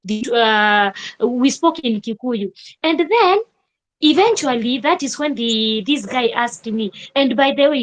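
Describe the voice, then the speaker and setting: A young Kenyan woman telling a personal story in a vlog, with animated emphasis and repeated rhetorical questions. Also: on the high side, rough recording. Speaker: vlogger.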